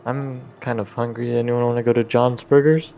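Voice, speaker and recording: A mild-mannered man plays a tabletop role-playing game. His voice sits at a middle pitch and he improvises casually, hesitating as he goes. Faint noise is behind him. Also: flat delivery, a little fast, gravelly, dark tone, poor audio.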